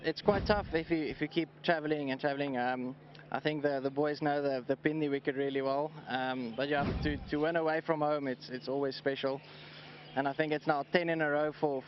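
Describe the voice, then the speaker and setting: A South African man whose first language is Afrikaans, a cricketer giving a halting post-match interview at pitch side, often repeating words. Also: slight background noise. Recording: slightly dull outdoor broadcast audio.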